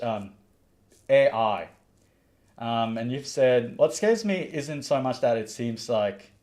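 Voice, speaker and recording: A man from the Maldives hosts a livestream in a mid-pitched voice. He talks in an earnest, opinionated way, stops to read viewer comments aloud, and often restarts his sentences. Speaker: livestream host.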